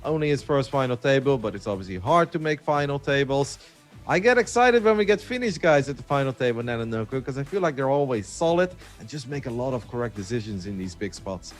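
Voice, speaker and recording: A man hosting a poker broadcast, briskly introducing players and handing off to his co-host. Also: medium pitch, animated, faint music behind.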